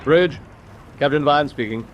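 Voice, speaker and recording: An actor playing a decisive British destroyer captain in a wartime radio drama, giving clipped, measured orders with a British accent. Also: male voice, on the high side, muffled recording, a crowd in the background, close to an everyday voice. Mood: commanding.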